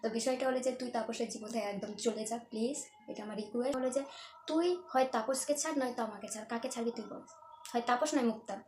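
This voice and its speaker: A woman's voice: a little high, quick pace, darkish tone. A young woman vlogger on a prank call, pleading in an insistent, theatrical way. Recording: echoey room with faint hiss.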